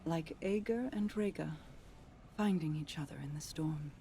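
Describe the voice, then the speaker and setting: An actress playing a regal, protective sister and leader in a video game, speaking formally and deliberately. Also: female voice, deep voice, dark tone, a little hiss or hum. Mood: solemn sympathy. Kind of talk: video game cutscene acting.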